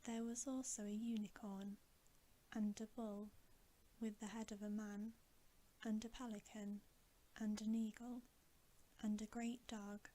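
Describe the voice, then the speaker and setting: A woman reading a storybook in a soft, breathy ASMR whisper, with a gentle, soothing delivery. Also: medium pitch, flat delivery, unhurried, pauses often, low-quality recording. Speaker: ASMR bedtime story reader.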